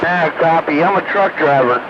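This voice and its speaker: A man's voice: a little high, normal speed. A man calling in casually over CB radio, a truck driver from Pennsylvania. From Pennsylvania, United States. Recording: dull CB radio, very noisy.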